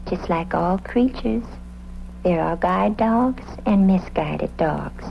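A woman's voice: lowish voice, lively intonation, slow pace, creaky, exaggerated voice. An actress playing a serene, eccentric, airy woman witness in an old-time radio drama. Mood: dreamy, forgiving. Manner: dreamy, airy, calls everyone "love". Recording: muffled, hissy old radio recording.

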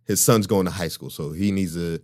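Deep-voiced man in animated casual chat. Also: a little fast. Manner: animated, emphatic, laughs often. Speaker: podcast co-host.

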